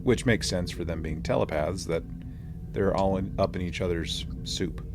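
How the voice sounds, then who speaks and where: A man co-hosting a casual podcast discussion, explaining things in a halting, tentative way. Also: low-pitched, noisy background. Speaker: podcast co-host.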